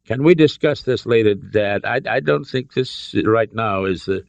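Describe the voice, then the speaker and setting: A man's voice: fairly low pitch, moderate pace, creaky, no echo, dull audio, pitched above the performer's own voice. A man voicing a defiant adventurer, a grown son flustered by his father, in a storybook reading.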